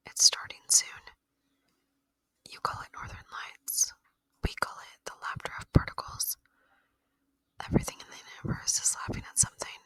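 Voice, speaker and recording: A woman voicing a gentle, lonely, curious alien in a soft, wistful, intimate ASMR roleplay whisper. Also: no room sound, natural voice, rough recording.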